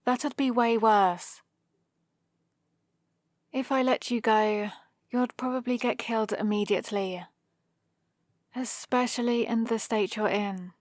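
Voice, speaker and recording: A British woman playing a proud, scheming supervillain with a soft spot, in a teasing, intimate ASMR roleplay. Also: on the high side, fairly even intonation, slow, pauses often, dry recording, studio quality. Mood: reluctant concern. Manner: teasing, sardonic, casually conversational. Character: proud scheming female supervillain, gruffly caring for a rescued hero.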